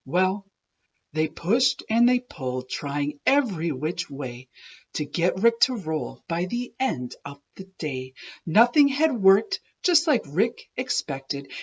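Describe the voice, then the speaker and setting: A woman reads a rhyming storybook aloud with expressive, swooping intonation. Her delivery is deliberate and slow, with a slightly husky edge to the voice. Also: on the low side, muffled recording. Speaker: children's book reader. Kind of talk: animated storybook read-aloud of a rhyming tale.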